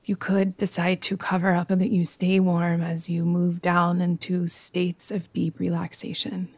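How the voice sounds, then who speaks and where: A woman guides a meditation in a soothing, unhurried manner, leaving long pauses between phrases. Her voice is lowish and stays very even, with little rise or fall. It is recorded close and dry, with no room sound. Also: no echo. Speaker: yoga nidra meditation teacher.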